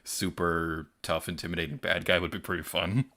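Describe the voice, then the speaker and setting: A soft-spoken young man streaming and chatting casually, hesitant, with many pauses and ums. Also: deep voice, steady tone, noisy background.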